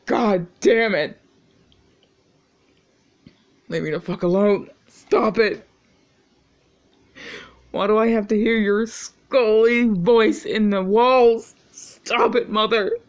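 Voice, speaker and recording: Actor playing a haunted, anguished man. Slow, heavy delivery, lots of cursing, addressing an absent mother. Muffled, lo-fi sound. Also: high-pitched. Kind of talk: dramatic monologue.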